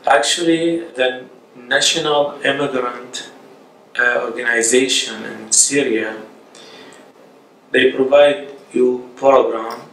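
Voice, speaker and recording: Slow, flat-voiced man, distant mic. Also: mid-range voice. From Iraq. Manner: halting, hesitant delivery. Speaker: immigrant interviewee. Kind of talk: documentary interview. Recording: roomy room, distant mic, faint noise.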